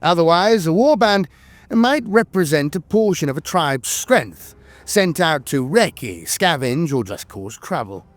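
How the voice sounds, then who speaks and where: A man's voice: fairly high pitch, very animated, a little slow. A man reading scripted lore narration in a theatrical, emphatic storytelling manner.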